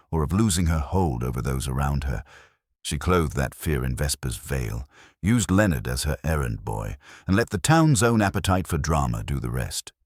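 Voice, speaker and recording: A man narrating a scripted mystery story in a measured, dramatic, radio-drama storytelling manner. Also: rumbling low, no room sound, studio quality.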